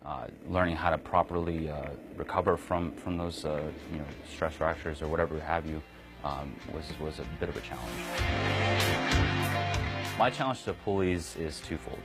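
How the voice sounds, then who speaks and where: Young marine guy from kazakhstan, super deep low voice, earnest, lots of uhs and you knows, music playing under him. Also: moderate pace. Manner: earnest, conversational, many fillers and restarts. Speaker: Marine sergeant. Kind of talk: recruiting interview.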